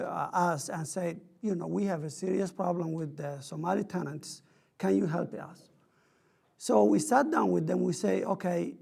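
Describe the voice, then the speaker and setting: Man, high gravelly voice, husky, earnest panel speaker. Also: dark tone. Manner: earnest, explains with examples and questions. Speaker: panelist from a community outreach program. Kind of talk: public panel talk.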